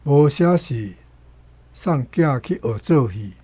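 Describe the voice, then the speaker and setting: A man's voice: mid-range voice, animated, slow, warm tone, husky. A man with a Taiwanese accent explaining things in unscripted discussion, repeating words as he works through a point.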